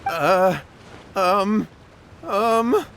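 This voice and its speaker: Nervous, higher-pitched, cowardly young guy. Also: lively intonation, extremely slow, vocal fry, a bit bright, a little hiss or hum, full character voice. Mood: flustered. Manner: flustered, stammering, pleading. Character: nervous, cowardly young man hiding a secret. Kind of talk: English video game voice acting.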